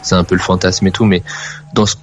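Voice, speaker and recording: A man's voice: sits low, flat delivery, fairly quick, lo-fi sound, soft background music. A man calling in to a talk radio show, speaking hesitantly with many restarts. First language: French. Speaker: caller. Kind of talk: casual phone-in chat.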